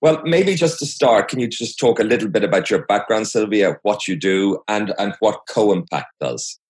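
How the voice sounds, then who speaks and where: A man's voice: lowish voice, expressive, normal speed, darkish tone. A man hosting a podcast, introducing the show and interviewing guests. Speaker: podcast host.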